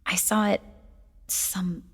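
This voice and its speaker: A woman's voice: mid-range voice, fairly even intonation, takes it slowly, husky, in a large hall. A woman playing an anxious, self-doubting young princess in an audio drama, speaking hesitantly and earnestly. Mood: earnest, defensive. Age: young adult.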